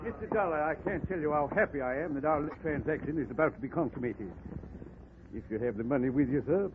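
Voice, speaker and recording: An actor playing a pompous older colonel with florid, blustering speech in a 1940s radio drama. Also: male voice, on the high side, fast talker, lightly acted. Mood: eager, unctuous. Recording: noisy, tinny 1940s radio recording.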